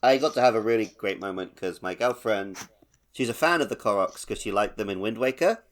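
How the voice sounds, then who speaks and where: A man's voice: on the low side, expressive, brisk. A man hosting a podcast, chatting casually about a video game. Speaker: podcast host.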